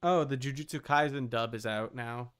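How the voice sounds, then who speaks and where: A man's voice: medium pitch, normal speed, fairly bright tone, clean tone. A man hosting a game livestream, talking casually and informally in a rambling way, thinking aloud as he goes.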